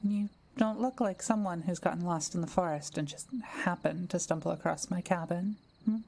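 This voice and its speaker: Kind solitary forest witch, played by a woman, lowish mellow voice, unhurried and softly playful, for roleplay audio drama. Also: vocal fry. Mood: curious, welcoming.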